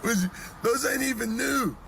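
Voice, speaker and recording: A man's voice: extremely high pitch, moderate pace, gravelly, over a noisy crowd. A man in a casual bantering argument, repeating himself in a half-pleading, joking way, on a noisy clip.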